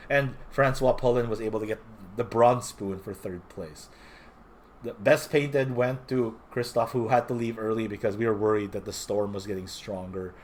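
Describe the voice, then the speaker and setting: A man's voice: on the low side, a little mellow, slight background noise. A young man with a slightly nasal, under-the-weather voice, talking casually through a recap. He often breaks off and corrects himself mid-sentence as he goes. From Philippines. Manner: rambling, frequent self-corrections. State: a little sick. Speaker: tournament player reporting.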